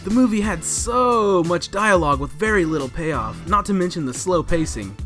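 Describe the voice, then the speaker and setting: Male countdown co-host, higher register, lively swings, exaggerated comic scripted read, faint music underneath. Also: moderate pace. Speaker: co-host of a movie countdown show. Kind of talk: scripted comedic countdown narration.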